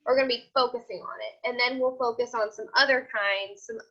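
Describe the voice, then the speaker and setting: A woman's voice: a little high, fairly even intonation, a little fast, fairly bright tone. A woman teaching children in an informal online class, explaining things step by step and often checking they follow. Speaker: elementary school teacher. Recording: dull, lo-fi video call audio.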